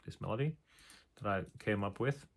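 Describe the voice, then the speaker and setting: Guy walking through how he wrote a tune, low gravelly voice, lots of uhs and hums. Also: normal speed. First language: Finnish. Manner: hesitant, many fillers, hums melodies. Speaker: composer. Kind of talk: explaining his composing process.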